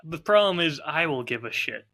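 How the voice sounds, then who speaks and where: A man's voice: fairly high pitch, expressive, moderate pace, sharp tone. A man chatting casually as a podcast co-host, with a wry, self-deprecating way of talking. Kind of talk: casual chat.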